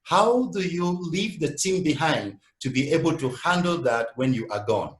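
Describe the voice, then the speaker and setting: A man's voice: a little high, lively intonation, normal speed, echoey room. A man moderating a webinar Q&A, polite and hesitant, with many fillers. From Cameroon.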